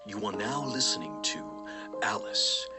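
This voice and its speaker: A man's voice: fairly low pitch, animated, measured pace, over loud music. A man delivering scripted story narration as the narrator of an audio drama.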